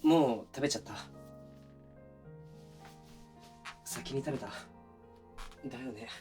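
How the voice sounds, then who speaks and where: A man acting as a considerate but oblivious young boyfriend in an intimate audio drama, talking casually and softly with pauses. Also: mid-range voice, soft background music, natural voice. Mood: apologetic. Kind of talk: intimate situation-voice audio drama, naturalistic one-sided dialogue.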